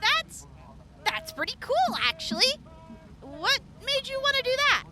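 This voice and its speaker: A woman's voice: extremely high pitch, very animated, unhurried, heavy background noise, full character voice. A woman playing an upbeat young army medic in an audio drama, chatty and giggly, stammering when flustered. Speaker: field medic.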